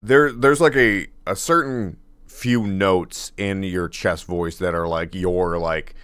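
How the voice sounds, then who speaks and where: Laughing man with a deep baritone. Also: slow, fairly bright tone, smooth, no room sound, studio quality. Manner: laughs often, rambling with fillers and restarts. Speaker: music reaction host. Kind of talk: casual song reaction commentary.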